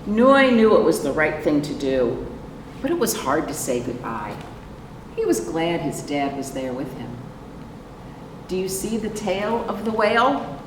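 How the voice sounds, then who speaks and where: An American woman leads a storytime for young children in a lowish voice that moves at a leisurely pace. She is expressive and puts questions to her listeners as she goes. The sound is distant and reverberant, with noise behind her. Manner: expressive, asks listeners questions and pauses for answers. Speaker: librarian hosting children's storytime. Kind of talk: picture book read-aloud for young children. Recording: roomy, echoing, noisy, far from microphone.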